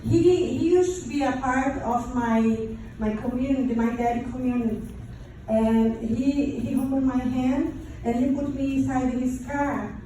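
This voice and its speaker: A woman from Brazil with a Brazilian Portuguese accent gives a personal testimony to an audience, haltingly and in non-native English. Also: high voice, fairly even intonation, mellow. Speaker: survivor giving testimony. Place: large echoey room, before an audience. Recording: very echoey room, distant mic, faint noise.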